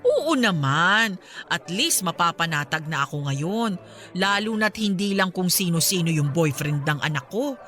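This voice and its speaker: A woman playing a warm, easily astonished middle-aged mother chatting with her best friend in a radio drama. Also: on the low side, lively intonation, measured pace. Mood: relieved, sincere. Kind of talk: conversational radio drama acting.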